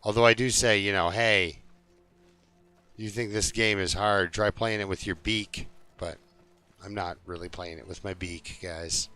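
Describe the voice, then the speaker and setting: A man's voice: sits low, fairly even intonation, a little slow, sharp tone, soft background music. A man live streaming a video game, chatting casually and jokingly to his viewers in an easygoing, rambling way.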